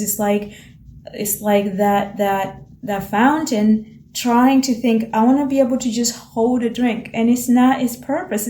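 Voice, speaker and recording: A woman from Tanzania whose first language is Swahili, talking to camera in a thoughtful, gently encouraging way and often pausing to search for words. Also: mid-range voice. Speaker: vlogger. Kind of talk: reflective personal talk to camera. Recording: roomy echo with faint ambient noise.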